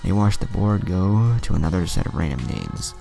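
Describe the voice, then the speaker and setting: A man's voice: deep voice, expressive, normal speed, gravelly, mellow, faint music behind. A man narrating anime fan fiction as a storyteller.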